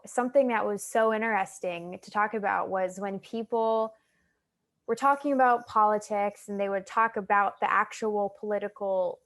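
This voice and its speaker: A young woman with an American accent, a guest talking about her own experiences in a relaxed, chatty way with many fillers. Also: mid-range voice. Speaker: guest, stuttering advocate. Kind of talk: casual interview chat.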